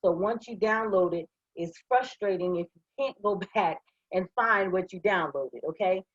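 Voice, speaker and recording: Hoarse woman trainer, husky, slightly muffled close mic, upbeat walkthrough voice. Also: mid-range voice, normal speed, dry recording. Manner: upbeat, step-by-step, checks in with "okay?". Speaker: online trading trainer. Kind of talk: live screen-share software walkthrough.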